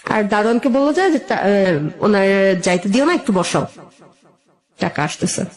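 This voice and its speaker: A woman's voice: medium pitch, expressive, moderate pace. A woman with a Bangladeshi accent telling her own life story as an interview guest, conversational, wistful and admiring.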